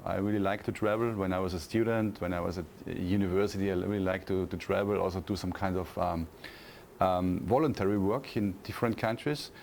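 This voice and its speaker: Austrian man with a gravelly low voice. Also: steady tone, a little mellow, slight background noise. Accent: Austrian German. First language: German. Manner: thoughtful, many pauses and fillers, restarts phrases. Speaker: university lecturer, interview guest. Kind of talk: casual interview answers.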